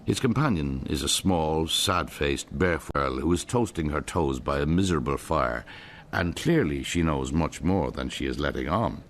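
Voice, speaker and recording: A man's voice: very deep voice, animated, normal speed, a bit bright, slight background noise. A man with an Irish accent narrating a story in the first person, with a wry, humorous delivery.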